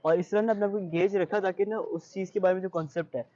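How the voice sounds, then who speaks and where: A man's voice: sits high, fairly even intonation, fast, warm tone, highs cut off. A young man with a Pakistani accent answering interview questions conversationally, explaining and giving advice with English words mixed in. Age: young adult. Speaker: interview guest, university student.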